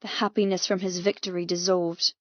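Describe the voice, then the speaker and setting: A woman's voice: on the low side, little pitch movement, fairly quick, no echo, dull audio. Woman narrating dramatic fiction, very dry sound. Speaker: audiobook narrator. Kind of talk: dramatic scripted first-person narration.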